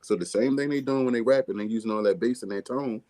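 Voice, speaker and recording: A man from with a deep, bass-heavy, mumbly voice, talking casually as a music artist guest in an interview. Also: quick pace, darkish tone. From Baltimore, USA. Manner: mumbly, laid-back streetwise delivery.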